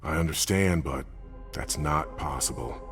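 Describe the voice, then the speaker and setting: A no-nonsense mission planner in a dramatic video game. His voice is exceptionally deep, curt and authoritative. Faint music sits behind it. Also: measured pace. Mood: stern, matter-of-fact. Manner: curt, authoritative, matter-of-fact.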